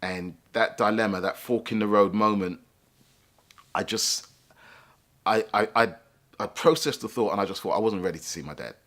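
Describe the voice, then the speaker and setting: A man with a deep, low-pitched voice tells his own life story. He speaks reflectively and thoughtfully, often pausing to find the right words. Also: fairly bright tone. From England, London. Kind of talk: personal life story told to camera.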